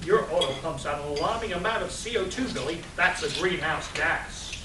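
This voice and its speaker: Man playing a pompous high-voiced eco superhero, distant mic. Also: lively intonation, fairly quick, lightly acted. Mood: earnest, alarmed. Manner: pompous, melodramatic declaiming. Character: earnest, preachy male environmentalist superhero. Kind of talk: live comic radio play acting. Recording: roomy, distant live stage capture, noisy.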